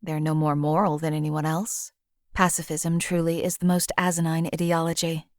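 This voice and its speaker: A woman voicing a cold, calculating female assassin companion in a game, with a calm, detached, clipped delivery. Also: sits low, moderate pace, no echo, clean studio sound, natural voice. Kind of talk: game voice lines.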